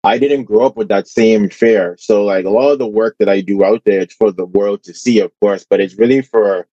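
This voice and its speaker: Deep man on a dull line. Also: steady tone, a little fast, warm tone, no echo. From the Bahamas. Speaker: interview guest.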